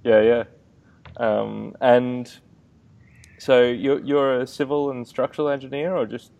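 A man hosting an interview, friendly and quick to laugh, with hesitant fillers. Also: medium pitch, flat delivery, creaky, darkish tone, muffled recording. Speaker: podcast host, gym owner. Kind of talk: casual interview chat.

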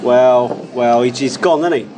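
A man's voice: medium pitch, measured pace, a crowd in the background. A man with a London English accent, rambling and stumbling through jokey banter in a pub. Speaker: podcast co-host. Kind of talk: casual pub chat. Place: busy pub.

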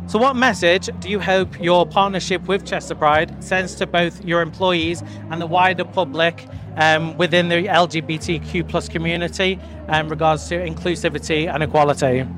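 Upbeat high-voiced male interviewer. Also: fairly even intonation, moderate pace, few pauses, music in the background. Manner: upbeat, chatty questions with restarts. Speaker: podcast interviewer. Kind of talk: live event interview. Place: outdoor festival grounds.

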